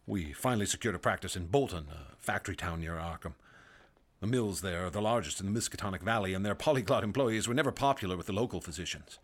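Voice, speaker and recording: An actor playing a grave male doctor narrating his testimony in a radio drama, measured and somber. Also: low-pitched, animated, slightly rough. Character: grave male doctor recounting horrors as a witness.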